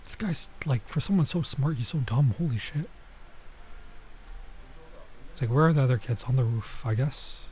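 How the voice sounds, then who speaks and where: A male streamer with a mid-pitched voice reads through a visual novel out loud. He is casual and drops in asides, tripping over words here and there. Clear noise sits behind his voice. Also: normal speed, long pauses. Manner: casual, reacts with asides and stumbles over lines. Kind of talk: let's play, reading game text aloud with casual commentary.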